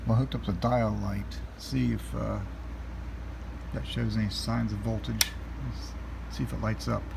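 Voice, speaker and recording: Male hobbyist repairer, heavy vocal fry, plainspoken and fragmentary working commentary, recorded over a noisy hum. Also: fairly low pitch, measured pace. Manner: plainspoken, talking in short fragments while working. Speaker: hobbyist restoring vintage radios. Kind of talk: casual hands-on repair narration. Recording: noisy room with steady background hum.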